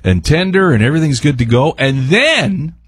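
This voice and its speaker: Folksy, husky radio chef. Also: male voice, on the high side, big pitch swings, moderate pace, no room sound, studio quality. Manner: folksy, informal, rambling explanations. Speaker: resident chef and radio host. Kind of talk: casual chat explaining a recipe.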